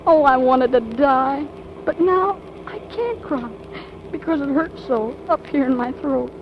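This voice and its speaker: A woman's voice: sits high, animated, measured pace. A young woman playing a theatrical, wounded, impulsive teenage girl in a 1940s radio drama, with breathless, melodramatic exclamations. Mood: anguished. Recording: muffled, noisy old radio broadcast recording.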